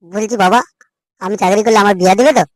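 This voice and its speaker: A woman's voice: mid-range voice, steady tone, quick pace, no echo, lightly acted. A woman voicing a cheeky, foul-mouthed young son in a comic cartoon, whiny and insolent, with a West Bengal accent. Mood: eager.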